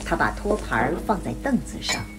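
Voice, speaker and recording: A woman's voice: low-pitched, sing-song, fairly quick, music in the background. A woman narrating a scripted radio drama, reading out the scene directions.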